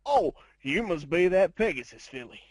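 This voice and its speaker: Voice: medium pitch, animated, moderate pace, a little mellow, natural voice. A performer voicing an easygoing old male pony game warden with a folksy, casual manner. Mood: folksy, obliging.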